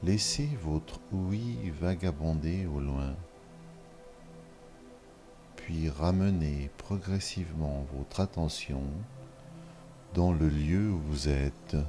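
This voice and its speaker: A man leading a guided relaxation meditation in short, measured instructional phrases with long pauses between them. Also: very deep voice, warm tone, faint music behind. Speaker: yoga nidra guide.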